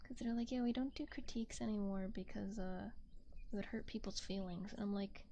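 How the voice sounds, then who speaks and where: Soft, mellow young woman, gently creaky. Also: medium pitch, fairly even intonation, fairly quick, slight background noise. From United States. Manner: gentle, hushed, often slipping into near-whisper. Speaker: VTuber streamer. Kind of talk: ASMR casual chat. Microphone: close binaural ASMR microphone.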